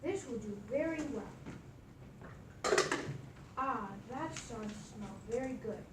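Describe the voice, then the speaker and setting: A woman's voice: on the high side, animated, takes it slowly. A woman voicing a friendly, clever, weary traveler in a puppet show, recorded from across an echoey room. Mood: cheerful, satisfied. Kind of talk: puppet show read from a script. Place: echoey indoor meeting room at a library. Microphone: far camera microphone. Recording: distant mic, echoey room, noisy background.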